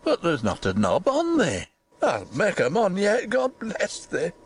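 A woman voicing a blustering, impudent old Yorkshire gardener man in broad dialect, with a hoarse, shaky voice, in a storybook reading. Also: on the low side, wildly swooping pitch, measured pace, heavily acted. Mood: moved.

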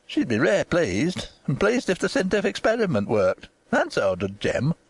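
A woman's voice: sits low, huge pitch swings, dry recording, full character voice. A woman reading an audiobook, voicing a testy old rustic gardener. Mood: wry. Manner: gruff, slow, testy.

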